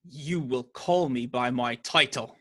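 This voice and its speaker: An actor playing a pompous, menacing, domineering high sheriff in a radio play. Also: male voice, slow pace, pushed and bright. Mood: imperious. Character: haughty, menacing High Sheriff of Nottinghamshire, male.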